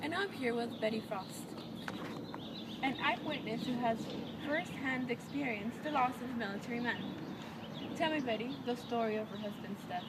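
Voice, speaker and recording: A woman's voice: a little high, normal speed, natural voice. A woman playing a polite, inquisitive 1940s newscast field reporter, asking scripted interview questions. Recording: distant mic with clear noise.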